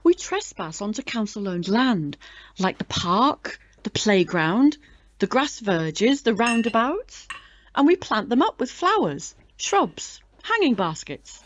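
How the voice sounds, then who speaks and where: A woman's voice: a little high, sing-song, takes it slowly, dull audio, full character voice. A woman playing a chatty, proud older British club chairwoman in an audio drama, genteel and theatrical in manner. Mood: conspiratorial.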